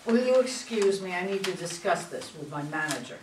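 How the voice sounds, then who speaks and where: A woman's voice: fairly low pitch, animated, fairly quick, slight background noise, close to an everyday voice. A woman playing a proud, combative older female politician in a play reading, with an indignant, emphatic delivery. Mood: offended. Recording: echoey room, far from mic.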